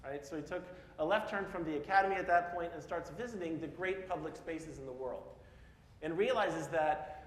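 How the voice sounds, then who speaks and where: A man's voice: fairly high pitch, moderate pace. Chatty Midwestern man in an echoey hall. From Peoria, Illinois (US Midwest). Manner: casual, self-deprecating, asks the audience questions. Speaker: speaker at a public talk. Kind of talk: conference talk. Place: large hall in front of an audience. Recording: echoey hall with faint noise.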